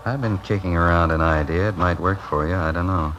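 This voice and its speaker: An actor playing a businesslike police captain in a 1950s radio drama, giving brisk, matter-of-fact orders. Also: male voice, deep voice, a bit bright, dull audio, a little hiss or hum.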